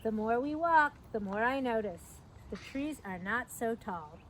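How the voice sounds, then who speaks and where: A woman's voice: a little high, animated, measured pace, a bit bright. A middle-aged woman reads a picture book aloud to young children outdoors, adding simple explanatory asides. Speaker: storytime reader, parks staff. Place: outdoors at an open trailhead by a fence. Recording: outdoor recording with clear background noise.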